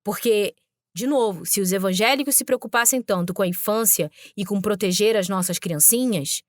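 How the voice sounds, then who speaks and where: A woman's voice: medium pitch, normal speed, no echo, studio quality. A woman hosting a podcast, giving sarcastic, emphatic commentary on video clips she plays. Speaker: podcast host.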